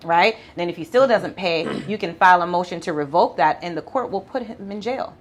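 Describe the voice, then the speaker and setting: A woman with a Jamaican accent, an attorney explaining law in a casual interview, talking quickly and laughing often. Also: on the low side. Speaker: family law attorney, podcast guest.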